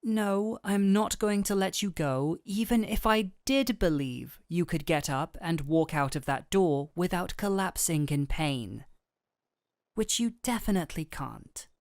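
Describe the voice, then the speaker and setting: Slow, gentle woman doctor, acted, low-pitched. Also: animated, dry recording, studio quality. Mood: firm, protective. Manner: soft, soothing, unhurried, earnest. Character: gentle, firm fantasy doctor woman, protective and caring. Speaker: voice actor in roleplay audio. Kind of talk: intimate scripted roleplay audio addressed to the listener.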